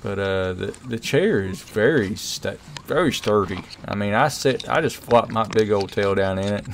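A middle-aged man giving a relaxed, rambling product review, full of fillers and restarts. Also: lowish voice, moderate pace, vocal fry, slight background noise. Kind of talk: casual voice-over review. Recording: music playing underneath.

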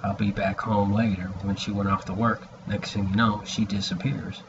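A man in his forties with a gravelly voice, giving a halting, rambling personal message to a webcam at home. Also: on the low side, little pitch movement. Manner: halting, hesitant, with long pauses. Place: small room indoors at home. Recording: dull lo-fi webcam audio, background noise.

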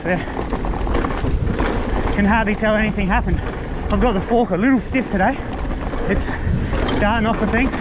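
A man giving casual, laid-back, fragmented gear commentary while riding a mountain bike. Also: sits high. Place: outdoors on a bushland trail, riding a bike. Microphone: action camera worn while riding. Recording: muffled action-camera audio, loud wind and trail noise.

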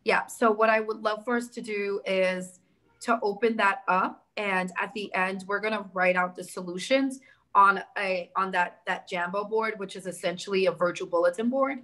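A woman in her thirties with a New York accent hosting a town hall on a video call, talking in a brisk, organized, encouraging way. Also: mid-range voice, sharp tone. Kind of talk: moderating a video call meeting. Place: indoor room with big windows. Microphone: laptop webcam on a video call.

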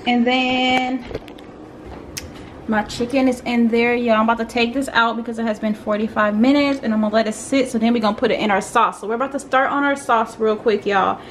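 A woman's voice: a little high, fairly even intonation, a little fast, slight background noise. A young woman vlogging as she cooks in her home kitchen, chatting casually and calling her viewers y'all. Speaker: cooking vlogger. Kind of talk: casual cooking chat. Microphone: camera on tripod and phone.

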